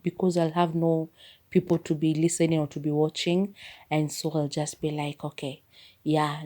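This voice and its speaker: A woman's voice: low voice, dark tone. A woman with a Kenyan accent, whose first language is Maragoli, rambling in a chatty way through a casual solo podcast as its host. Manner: chatty and rambling, leans on "like" as filler.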